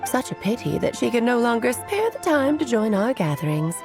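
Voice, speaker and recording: High, lilting elegant witch. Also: sing-song, a little fast. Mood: airy, wistful. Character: refined playful witch, poised hostess of secret gatherings. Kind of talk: video game voice acting. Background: music.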